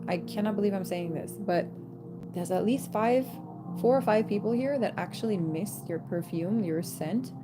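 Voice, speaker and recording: A woman's voice: medium pitch, moderate pace. A woman giving a chatty intuitive reading, breaking into laughing asides and stopping herself mid-thought. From American. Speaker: tarot reader. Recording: loud background music.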